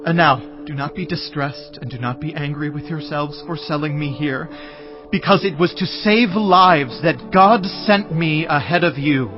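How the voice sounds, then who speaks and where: A man's voice: fairly high pitch, a little slow, natural voice. An actor playing an emotional, powerful young male ruler in a dramatized audio Bible, speaking in an earnest, declamatory way. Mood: earnest, urgent. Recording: dull audio with music underneath.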